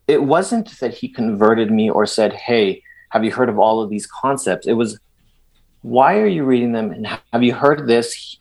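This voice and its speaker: A middle-aged man from El Salvador, a guest in a conversational interview, talking in an animated way with frequent restarts and emphatic asides. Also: medium pitch, low-quality recording.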